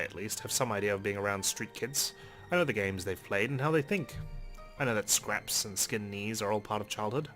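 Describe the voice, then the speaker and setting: A man's voice: medium pitch, a bit bright. A man hosting a let's play, reading a visual novel aloud as narration with casual commentary of his own. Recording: faint game music behind. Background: game music.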